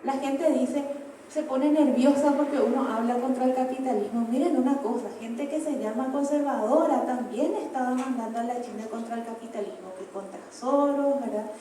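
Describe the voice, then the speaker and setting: A woman's voice: high-pitched, normal speed, mellow, a little hiss or hum. A woman, speaking out emphatically on a panel at a book presentation, with pointed rhetorical questions. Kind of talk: panel discussion remarks. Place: large hall, book presentation. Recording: echoey hall from far off.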